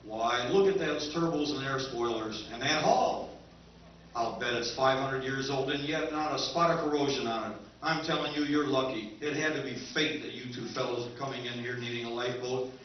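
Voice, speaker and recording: A man's voice: mid-range voice, normal speed, slight background noise, lightly acted. A man playing a pushy, persuasive interstellar junk dealer in an old-time radio drama, with emphatic salesman patter. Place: convention stage. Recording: very echoey, dull, distant stage recording.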